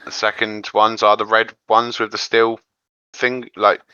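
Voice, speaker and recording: A man co-hosting a casual anime discussion, thinking aloud in a halting way. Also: lowish voice, level tone, sharp tone, smooth, no echo, highs cut off. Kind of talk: casual chat.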